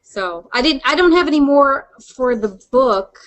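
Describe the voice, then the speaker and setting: A woman's voice: high voice, animated, moderate pace, low-quality recording. A woman interviewing in a casual chat, informal and enthusiastic. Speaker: podcast interviewer.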